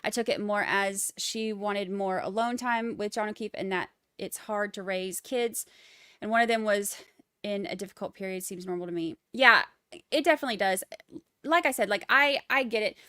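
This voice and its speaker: A woman's voice: medium pitch, normal speed, bright, forceful tone, smooth, dry recording, clean studio sound. A woman with a Boston accent hosting a live trial commentary stream, chatting wryly and reading out viewer comments. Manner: chatty, wry, rambling asides.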